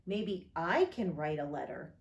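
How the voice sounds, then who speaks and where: A small storybook teddy bear, played by a woman, polite and eager to help. It speaks at an easy, slowish pace with animated rises and falls, in an echoing room. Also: low-pitched, gravelly, muffled recording, slight background noise, pitched below the performer's own voice, exaggerated voice. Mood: thoughtful. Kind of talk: children's picture book read aloud.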